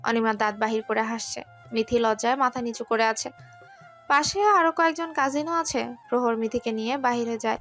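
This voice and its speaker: A woman's voice: on the high side, moderate pace, a bit bright, soft background music. A woman narrating a scripted romantic story.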